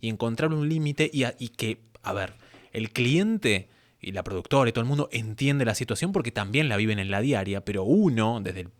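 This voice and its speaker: An Argentine man with a mid-pitched voice hosts a conversational interview. He talks in a reflective, wordy way, working through his thoughts informally. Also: expressive, normal speed. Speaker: interview host.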